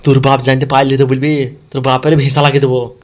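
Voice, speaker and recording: A man's voice: medium pitch, level tone, fast talker. Crude, threatening cartoon gang schemer, voiced by a man. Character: foul-mouthed vengeful male gang schemer in comedy cartoon. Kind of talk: comedy cartoon character dialogue. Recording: music behind the voice.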